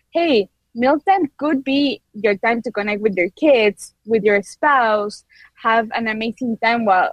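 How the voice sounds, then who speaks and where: A woman's voice: on the high side, dry recording, low-quality recording. A woman in her twenties from Ecuador with a Spanish accent, eagerly telling her story as an interview guest, laughing often and restarting sentences. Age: 20s. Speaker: guest entrepreneur. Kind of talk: casual interview chat.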